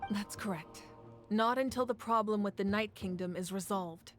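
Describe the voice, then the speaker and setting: A woman playing a dignified, resolute ruler in a dramatic game, speaking in a measured, formal and authoritative way. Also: mid-range voice, soft background music, natural voice. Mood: grave, earnest.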